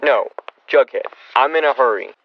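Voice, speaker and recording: A man's voice: medium pitch, moderate pace, lo-fi sound, slight background noise, natural voice. An actor playing a harried, exasperated middle-aged father who grumbles wearily, in old-time radio comedy style. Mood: weary, exasperated. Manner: weary, put-upon, grumbling.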